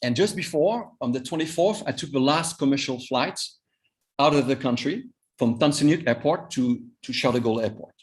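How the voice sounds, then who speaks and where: A man in his fifties with a French accent, whose first language is Vietnamese, giving a master class as a cross-cultural coach, halting and often repeating his words. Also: medium pitch. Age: 50s. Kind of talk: live online master class talk.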